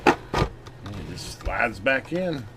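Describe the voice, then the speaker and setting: A man giving a casual hands-on repair demonstration, folksy and unhurried as he talks while working. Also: mid-range voice, big pitch swings. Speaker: channel host doing electronics repair. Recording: noisy room, clearly audible background noise.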